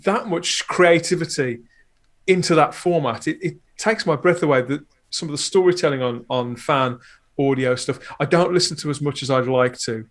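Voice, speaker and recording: A man's voice: on the high side, normal speed. A man guesting on a podcast, talking casually and discursively, often interrupting his own sentences.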